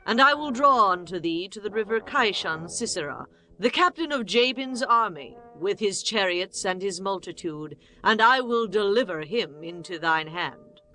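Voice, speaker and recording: A woman's voice: mid-range voice, expressive, normal speed, hardly any pauses, sharp tone, soft background music, rough recording. A woman playing a commanding biblical woman in a dramatized scripture reading, solemn and declamatory with archaic phrasing. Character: commanding biblical prophetess and tent-dwelling woman.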